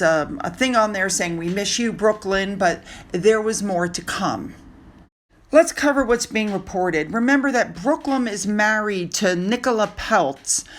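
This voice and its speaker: A woman's voice: mid-range voice, fairly bright tone. Female gossip vlogger, mid-range voice, casual and opinionated, chatting through the news. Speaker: host of a gossip vlog.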